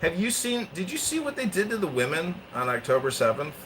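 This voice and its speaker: Male podcast host, lively pitch, crude and combative delivery, noisy background. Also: fairly quick. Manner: crude, combative, swings between mutters and bursts. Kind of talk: provocative casual chat.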